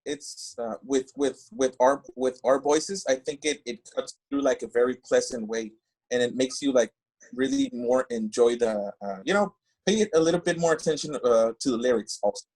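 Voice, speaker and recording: A man, a band singer guesting on a podcast, talking casually and haltingly as he searches for English words. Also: mid-range voice, expressive, darkish tone, low-quality recording. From Mexico. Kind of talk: casual interview chat.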